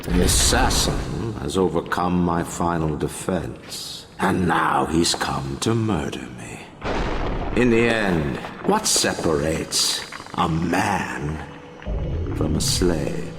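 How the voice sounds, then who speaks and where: An actor playing a proud, bitter older tycoon who rules his city, speaking in grandiose, deliberate oratory for a video game. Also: extremely low pitch, lively intonation, vocal fry, full character voice. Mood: measured, scornful. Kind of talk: theatrical dramatic video game monologue. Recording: loud music and effects behind voice. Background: loud dramatic game music.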